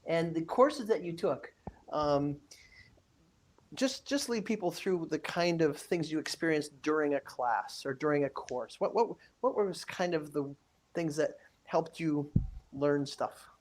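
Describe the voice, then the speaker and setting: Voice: mid-range voice, measured pace. An instructor hosting a webinar, asking a former student questions and rephrasing them on the spot. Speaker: instructor and interviewer. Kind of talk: webinar interview.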